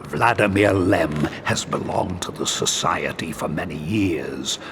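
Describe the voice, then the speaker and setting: An old, dying secret-society patriarch in a game cutscene. The voice is very low and very slow, grave and breath-laden, with clear noise behind it. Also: male voice. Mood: grave, weary. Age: older. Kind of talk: scripted game cutscene acting.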